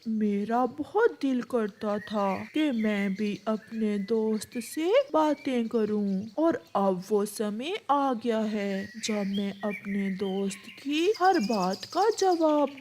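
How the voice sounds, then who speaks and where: A woman voicing a kindly, loyal male mango tree in a children's cartoon, speaking in a calm, reassuring, solemn way. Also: on the high side, a little hiss or hum. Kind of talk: children's cartoon story dialogue.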